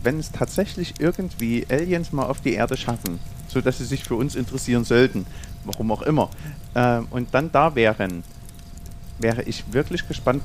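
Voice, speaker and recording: A man's voice: mid-range voice, a little hiss or hum. Thoughtful man in his thirties. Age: 30s. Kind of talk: casual chat.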